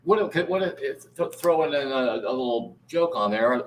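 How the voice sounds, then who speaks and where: Man, fairly high voice, lively intonation, casual joking, echoey, slightly distant from mic. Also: normal speed. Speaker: table read participant. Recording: echoey room, distant mic, faint noise.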